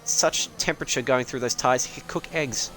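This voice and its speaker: A man's voice: medium pitch, moderate pace, sharp tone, faint music behind, low-quality recording. A man casually commentating a sim racing league on a live stream, rambling and joking at his own expense and swearing freely.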